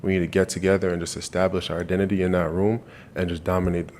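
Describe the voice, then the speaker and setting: Young athlete from Canada, deep and even delivery, earnest, restarts lines as he thinks. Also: fast, a little hiss or hum. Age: 20s. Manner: earnest, repeats and restarts phrases. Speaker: college football player. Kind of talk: press conference answers. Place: media day press room.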